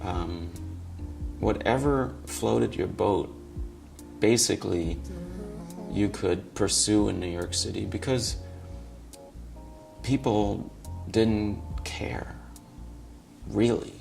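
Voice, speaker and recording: A man from suburban New Jersey giving a documentary interview in a slow, measured and thoughtful way. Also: lowish voice, creaky, darkish tone, soft background music.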